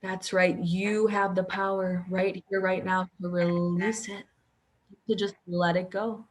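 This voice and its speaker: A woman leading a guided sleep meditation in a slow, soothing voice that often drops close to a whisper. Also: mid-range voice, flat delivery.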